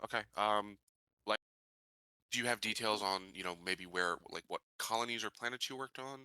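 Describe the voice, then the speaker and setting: A man's voice: fairly low pitch, moderate pace, pushed and bright, clean tone, dry recording, low-quality recording. A man running a tabletop roleplaying game, asking casual, probing questions and rephrasing them as he goes.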